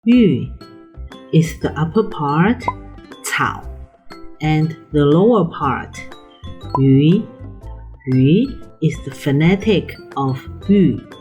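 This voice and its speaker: Low-pitched mandarin-native woman teaching, slow and careful, faint music under it. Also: animated, dark tone, dull audio. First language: Mandarin Chinese. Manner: slow, careful, clearly spaced delivery. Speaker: language teacher. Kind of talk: scripted tutorial narration.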